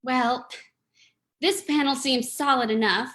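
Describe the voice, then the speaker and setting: A woman's voice: high voice, takes it slowly, fairly bright tone. A woman playing an alert young lady, a detective's loyal companion, earnest and theatrical in a radio drama. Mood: inquisitive. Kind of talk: old-time radio drama acting.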